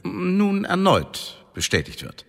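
An actor in a radio drama playing a sceptical, formal Elizabethan secret service interrogator with a dry, probing manner. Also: male voice, high-pitched, huge pitch swings, slow, gravelly, a bit bright, exaggerated voice. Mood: measured, official. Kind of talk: German radio drama acting. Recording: long reverberant hall.

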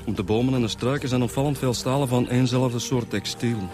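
An actor with a Flemish accent playing an easygoing, chatty police detective in a radio drama, explaining things colloquially with frequent tag questions. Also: male voice, medium pitch, fairly even intonation, fairly quick, music in the background, close to an everyday voice.

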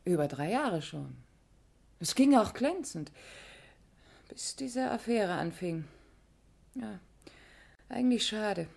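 Gravelly, rough-edged woman's voice, chatty frank shop assistant, 1960s radio crime play. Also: mid-range voice, animated, slow pace, pauses often, a little mellow, exaggerated voice. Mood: gossipy, confiding. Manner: gossipy, confiding. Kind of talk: 1960s German radio crime drama acting.

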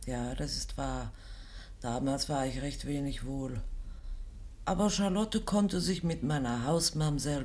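A woman's voice: sits low, a little mellow. A woman playing an elderly provost's wife in a literary radio drama, fondly teasing and firm with a touch of mock complaint. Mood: mock-plaintive. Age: older.

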